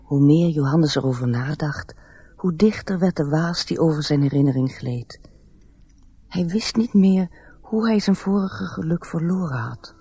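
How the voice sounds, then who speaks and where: Fairy-tale storyteller, radio drama: reflective, slightly rough-edged voice, measured delivery, close dry sound. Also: female voice, low-pitched, expressive, dark tone, no room sound, heavily acted. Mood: sombre. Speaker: narrator. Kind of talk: scripted radio play narration.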